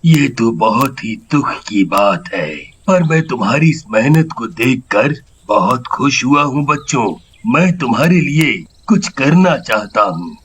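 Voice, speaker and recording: A man voicing a kindly village headman in a cartoon moral story, husky, measured and benevolent. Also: medium pitch, expressive, a bit bright, slight background noise. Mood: sympathetic, generous.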